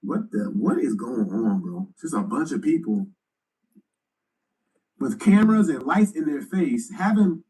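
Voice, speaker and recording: A man's voice: mid-range voice, big pitch swings, unhurried, dark tone, far from the mic, rough recording. A man giving loose, slangy commentary as a YouTuber, often repeating himself as he thinks. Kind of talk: casual commentary.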